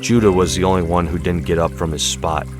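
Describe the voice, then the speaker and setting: Male audiobook narrator, low voice, scripted dramatic storytelling with clear musical underscore. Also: steady tone, fairly quick. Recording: music behind the voice. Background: background music.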